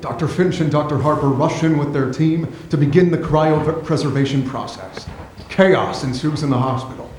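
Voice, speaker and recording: A man's voice: a little high, moderate pace, a little mellow. A man reading stage directions as scripted narration at a staged theatre reading. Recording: echoey theatre with faint noise.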